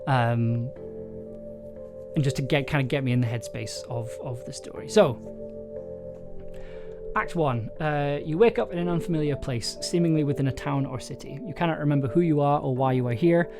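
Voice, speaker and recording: A man's voice: on the high side, normal speed. Casual, thoughtful man over background music. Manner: hesitant, thinking aloud, jokey. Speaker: streamer and audio drama writer. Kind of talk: casual livestream chat, reading aloud from own story draft. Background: chill soundtrack music.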